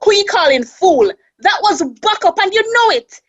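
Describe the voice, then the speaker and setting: An actress playing a fiery, bitter wronged wife with a Caribbean accent in a radio drama. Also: female voice, very high-pitched, expressive, moderate pace, no echo, dull audio. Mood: bitter, accusing.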